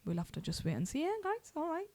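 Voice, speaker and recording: A young woman hosting a podcast, chatting casually and quickly with her siblings and often restarting her sentences. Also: sits high, wildly swooping pitch, dark tone. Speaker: podcast host.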